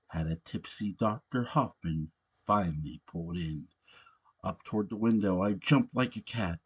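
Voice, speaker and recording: A man giving a theatrical, measured read-aloud of comic holiday verse, like a storyteller. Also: lowish voice, husky. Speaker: host of a fan channel reading aloud.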